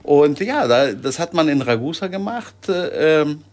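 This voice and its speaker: A man's voice: fairly high pitch, very animated, unhurried. A man from Sicily as a guest, talking casually with many hesitations. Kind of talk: casual chat.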